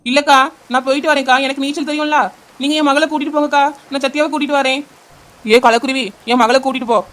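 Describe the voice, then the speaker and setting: A woman playing a brisk, brave young aunt. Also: high voice, fairly even intonation, fairly bright tone. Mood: determined. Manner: reassuring, brisk, fast-talking. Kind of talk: amateur audio drama.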